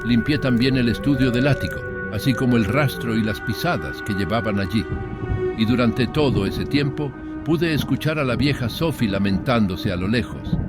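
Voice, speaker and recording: A man's voice: on the low side, moderate pace, loud music behind the voice. A man narrating a horror story in a dramatic first-person audiobook style.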